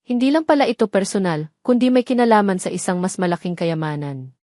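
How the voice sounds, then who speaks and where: A woman narrates a dramatic scripted story. Her voice sits at a middle pitch for a woman. The recording is close and dry, with no room sound. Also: brisk, warm tone, dry recording.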